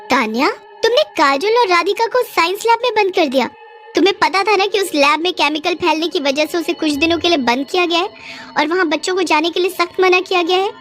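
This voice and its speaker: A woman's voice: very high-pitched, brisk, a bit bright, soft background music, pitched above the performer's own voice. A woman voicing a stern, earnest female schoolteacher in a cartoon story. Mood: scolding.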